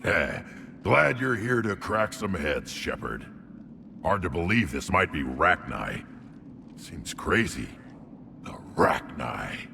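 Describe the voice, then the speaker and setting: Extremely deep alien warrior, young and spoiling for a fight, for a sci-fi game. The delivery is blunt and gruff, swinging widely from low rumble to bark, heavy with gravel. Clear ambient noise sits behind it. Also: male voice, full character voice. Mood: eager, gruff. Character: young, battle-hungry alien warrior brute, blunt and proud. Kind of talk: video game character acting.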